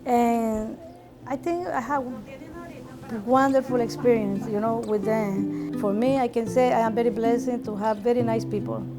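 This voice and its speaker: A woman's voice: on the high side, fairly even intonation, a little slow, loud music behind the voice. A woman who owns a salon speaks, plainspoken and repeating her phrases. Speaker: salon owner. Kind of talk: personal documentary interview.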